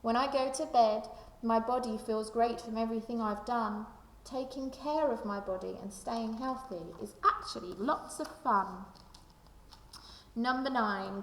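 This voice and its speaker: A woman's voice: on the high side, gravelly. A woman, a primary school teacher, reading a children's book aloud and speaking directly to young listeners. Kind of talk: expressive children's storybook read-aloud with reflective questions. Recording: echoey room with long reverb.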